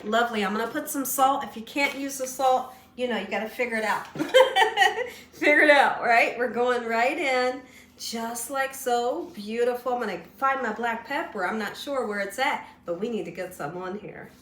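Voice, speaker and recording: A woman hosting a home cooking show, chatty and folksy, slightly hoarse as she loses her voice, often asking "right?". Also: on the high side, moderate pace, a bit bright, echoey room, recorded from a distance, slight background noise. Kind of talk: casual cooking demonstration. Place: home kitchen.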